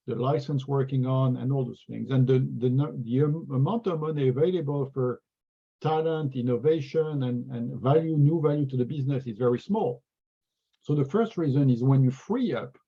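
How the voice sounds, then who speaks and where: This French-accented man speaks earnestly and often restarts his words mid-thought. His voice is gravelly and husky, at a middle pitch. The video call audio is dull and lo-fi. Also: dark tone. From France. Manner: earnest, repeats words while thinking. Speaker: regional sales executive. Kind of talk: webinar interview answers.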